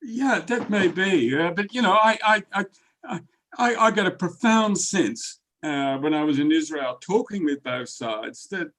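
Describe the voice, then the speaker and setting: A man's voice: high voice, animated, measured pace, fairly bright tone. A man who grew up in the Netherlands, taking part in a group book discussion, emphatic and prone to stammering and repeating words.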